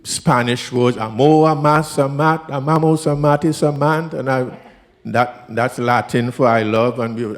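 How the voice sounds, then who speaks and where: A man, himself a minister, sharing a heartfelt personal reflection at a church service, halting and restarting as he speaks. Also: medium pitch.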